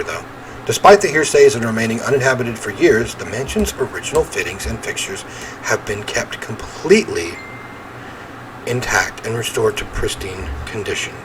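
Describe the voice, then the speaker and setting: A man reading visual novel narration aloud in an expressive storytelling way, with casual commentary. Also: medium pitch, noisy background. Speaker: video game let's player.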